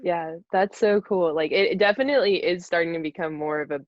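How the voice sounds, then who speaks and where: A woman's voice: lowish voice, brisk, dry recording, highs cut off. A young American woman co-hosting a podcast, asking questions in casual, informal talk with plenty of "like". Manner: informal, frequent "like". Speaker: podcast co-host, interviewer. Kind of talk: casual chat.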